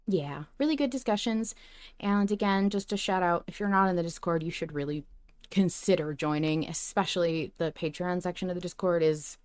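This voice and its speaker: Woman podcast host chatting casually, smooth lowish voice, super dry close recording. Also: moderate pace, no room sound. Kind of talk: casual fan discussion.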